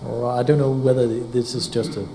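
A man with a mellow, warm voice asks a question hesitantly, stopping often to find his words. Faint room noise sits behind him. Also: mid-range voice, level tone, moderate pace, rough recording. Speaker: audience member.

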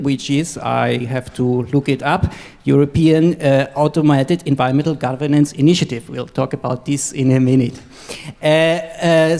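A man from Costa Rica moderating a conference panel, introducing and questioning guests in a hesitant, halting way with frequent stops mid-phrase. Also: a little high, darkish tone.

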